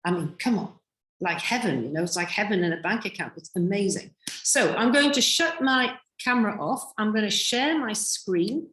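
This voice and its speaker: A woman giving an insistent sales pitch on a video call, a little croaky and repeating her points with emphasis. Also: expressive, moderate pace, fairly bright tone. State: croaky from illness.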